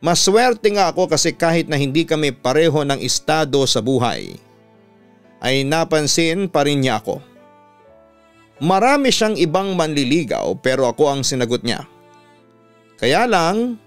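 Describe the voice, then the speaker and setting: A Filipino man with a radio announcer's delivery, narrating letters in a measured, sentimental way. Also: a little high, clear, resonant tone, no echo. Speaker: radio announcer hosting a story channel. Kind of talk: dramatic scripted narration of listener letters. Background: background music.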